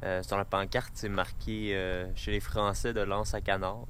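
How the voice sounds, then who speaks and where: A man's voice: on the low side, level tone, normal speed, fairly bright tone. A man with a Quebec French accent narrating an informal travel vlog in a colloquial, unscripted, hesitant way, on noisy amateur audio. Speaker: travel vlogger.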